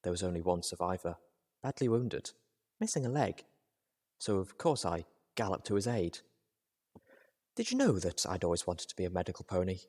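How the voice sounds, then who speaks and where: A man voicing a poised, cool-headed female pony in a story reading, speaking in a measured and gently reproving way. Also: low voice, very animated, slightly rough, full character voice. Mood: composed, measured.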